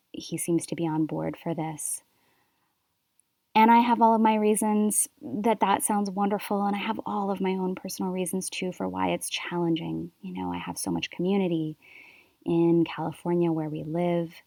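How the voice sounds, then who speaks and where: Soft-spoken reflective Canadian-born woman. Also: on the low side, steady tone, creaky, no room sound. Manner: soft, thoughtful, unhurried with pauses. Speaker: podcast host. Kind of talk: reflective solo podcast.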